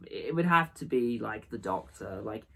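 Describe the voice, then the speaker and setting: A man's voice: on the low side, animated, normal speed, gravelly, a bit bright. A man from Australia with an Australian accent, chatting casually as an amateur actor in an interview.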